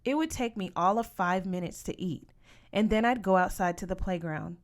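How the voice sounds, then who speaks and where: A woman narrating a first-person story as an audiobook reader, with expressive delivery. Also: medium pitch, normal speed.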